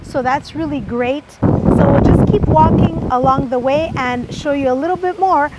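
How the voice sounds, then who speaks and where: Travel vlogger, woman in her 30s, high voice, upbeat and chatty, phone at arm's length, noisy street sound. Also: moderate pace, dull audio. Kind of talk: casual travel vlog to camera. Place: outdoors on city streets and a seaside park walkway. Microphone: phone held at arm's length.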